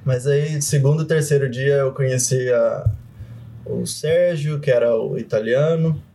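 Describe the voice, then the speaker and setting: A young man speaks Portuguese with a Brazilian accent in an easy, storytelling way, padding his sentences with fillers. His pitch sits in the middle range for a man. The tone stays casual and unhurried. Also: gravelly. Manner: relaxed, filler-heavy, often says "tipo". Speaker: podcast guest.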